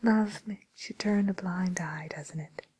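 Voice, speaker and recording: Breathy, whispering matchmaker woman acted out. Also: fairly low pitch, full character voice. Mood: tactful, gently amused. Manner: hesitant, gently diplomatic, trailing pauses. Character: polite, tactful matchmaker woman at a villains' dating service. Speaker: ASMR role-play performer. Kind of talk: soft whispered ASMR role play.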